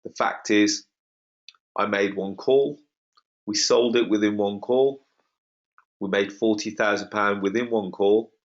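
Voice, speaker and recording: A slightly croaky man pitches deals to a webinar audience with confident, salesy emphasis. His voice sits fairly low. The call audio is dull and lo-fi. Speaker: webinar host. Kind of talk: online property webinar talk.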